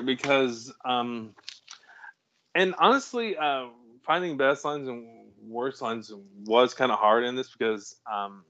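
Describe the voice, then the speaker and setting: A man's voice: fairly low pitch, fairly bright tone, dull audio. A man co-hosting a podcast, chatting casually about a film, rambling and restarting his sentences and chuckling at his own jokes. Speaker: podcast co-host.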